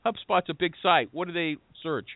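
A man hosting a casual panel chat, brisk and jokey as he steers the talk. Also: fairly high pitch, no echo. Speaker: podcast host. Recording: tinny phone-line audio.

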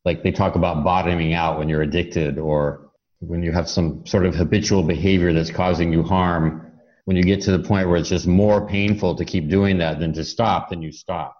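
Low-voiced man in reflective conversation. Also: fairly even intonation, normal speed, darkish tone, dull audio. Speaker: talk show host.

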